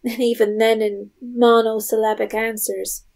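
A woman's voice: fairly high pitch, level tone, measured pace, vocal fry, darkish tone. A woman narrating an audio drama in a scripted storytelling style. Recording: music underneath.

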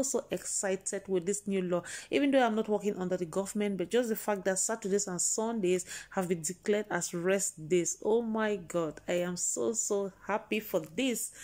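A woman's voice: medium pitch, darkish tone. Lady doing a news vlog, talks straight to the guys watching, lots of oh my god. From Cameroon. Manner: chatty, exclaiming, repeats points to the viewers. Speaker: vlog host.

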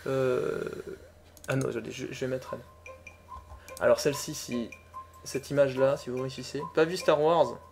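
A young woman co-hosting a gaming talk show live stream, teasing and animated as she runs a guessing game. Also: rumbling low, music in the background. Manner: teasing, animated, quick laughs. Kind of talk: playful livestream quiz chat.

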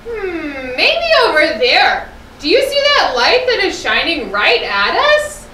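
A worried young puppet sailor, played by a woman, grumbles slowly and with exaggeration. The pitch is extremely high and swings widely. It is a children's puppet show picked up in an echoey room. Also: huge pitch swings, pushed and bright, exaggerated voice. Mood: puzzled. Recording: roomy echo, slightly distant mic, faint noise.

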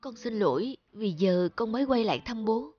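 A woman playing a gentle, sorrowful young woman in a cartoon drama, speaking earnestly and plaintively. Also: fairly high pitch, lively intonation, normal speed, no echo, highs cut off. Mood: sad, subdued. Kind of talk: cartoon drama acting with reflective narration.

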